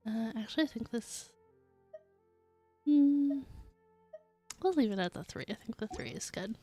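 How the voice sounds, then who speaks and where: A woman's voice: on the high side, animated, unhurried, dark tone, husky, no room sound, faint music behind. Chatty woman streaming a game. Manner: chatty, reads game text aloud. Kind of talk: casual gameplay commentary.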